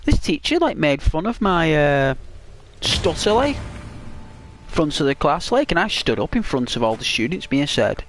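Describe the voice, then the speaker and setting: A man's voice: fairly high pitch, very animated, normal speed, fairly bright tone. Rambling British man chatting over gameplay. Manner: rambling, full of "like" and restarts. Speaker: gaming channel host. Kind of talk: casual chat while playing a video game.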